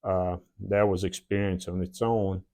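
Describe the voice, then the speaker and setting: A man in his forties from the former Yugoslavia, with a Slavic accent and a Southern drawl, telling his own story plainly with many "uh" pauses in his shed workshop. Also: low voice, little pitch movement, dark tone, clear, resonant tone. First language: a South Slavic language. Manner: plain, unhurried, frequent "uh" pauses. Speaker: channel host. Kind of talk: casual personal storytelling.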